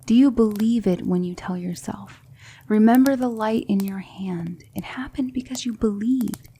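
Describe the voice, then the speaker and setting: A woman playing a gentle, patient demonic magic mentor in an ASMR roleplay, speaking in measured, hushed instruction that slips into whispers. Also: medium pitch, husky. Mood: hushed, coaxing. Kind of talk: ASMR roleplay, soft speech slipping into whispers.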